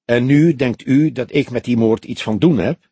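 A man as a smooth, husky middle-aged crime suspect. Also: mid-range voice, normal speed, warm tone, no echo. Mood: indignant, composed. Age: 40s. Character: stout wealthy crime suspect in late forties, smooth, self-assured.

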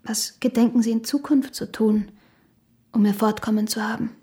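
An actress playing a frank, guarded young shopkeeper's daughter, speaking soberly and admonishingly in a radio play. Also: female voice, on the high side, steady tone, moderate pace, mellow, natural voice. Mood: stern, caring.

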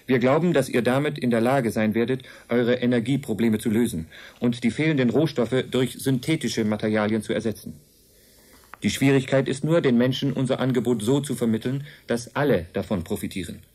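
Man playing a calm, earnest otherworldly envoy. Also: medium pitch, close to an everyday voice. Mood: earnest, explanatory. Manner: matter-of-fact, measured, explanatory. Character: calm, earnest male envoy of an advanced non-human race. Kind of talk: German radio drama acting.

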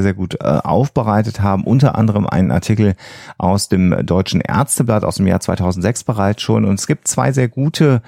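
A man explaining things as a podcast host in a halting way, with frequent hesitations. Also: lowish voice, gravelly, mellow, dry recording, clean studio sound. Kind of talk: explanatory science podcast talk.

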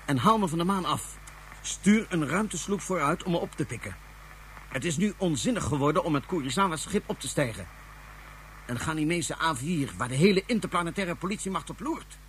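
A man's voice: fairly high pitch, animated, a little fast, a bit bright, a little hiss or hum. A man playing a curt, imperious ruler giving orders in a radio drama. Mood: commanding.

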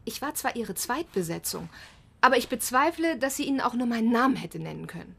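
An actress playing a chatty young understudy actress in a German radio drama, gushing and a bit nervous. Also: female voice, a little high, expressive, brisk, creaky, a bit bright, slightly rough, heavily acted. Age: young adult.

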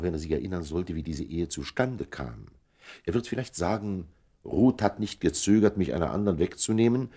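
Very deep, gravelly worldly adviser. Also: male voice, mellow, full character voice. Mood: cautioning. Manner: measured, pointed. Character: worldly older man, measured, shrewd, candid adviser. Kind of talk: German radio play acting.

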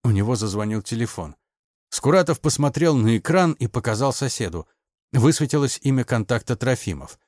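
A male audiobook narrator with a mid-pitched voice. He delivers scripted, dramatic prose as a storyteller. The sound is dry, with no room echo. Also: expressive, fairly quick, a little mellow.

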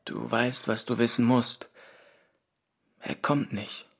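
A brooding boy of thirteen in a German radio drama. He speaks in terse, blunt phrases, devoted and insistent, at a middling pitch. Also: little pitch movement, takes it slowly, creaky, fairly bright tone, husky, heavily acted. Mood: quiet, blunt. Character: brooding thirteen-year-old boy, devoted, insistent toward his mother.

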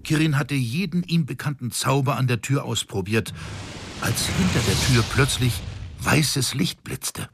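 A man's voice: mid-range voice, background noise. A man narrating a fantasy audio drama in a dramatic scripted style. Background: music.

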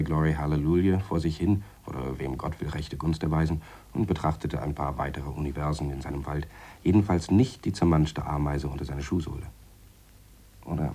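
An actor playing a deliberate, wry scientist in a radio play, explaining his theories in a measured, dry manner. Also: very deep voice, warm tone, husky. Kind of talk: radio play dialogue in a reflective, lecturing tone.

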